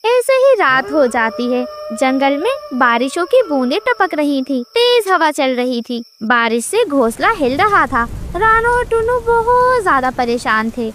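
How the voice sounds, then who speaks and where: A woman's voice: high voice, big pitch swings, unhurried, hardly any pauses, fairly bright tone, a little hiss or hum. A woman reading a scripted cartoon story as narrator.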